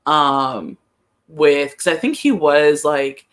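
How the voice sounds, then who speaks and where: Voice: mid-range voice, expressive, slow pace, fairly bright tone. A person chatting casually about soccer as a podcast analyst, thinking aloud and leaning often on the word "like". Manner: hesitant, thinking aloud, frequent use of "like". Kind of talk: casual chat, soccer commentary.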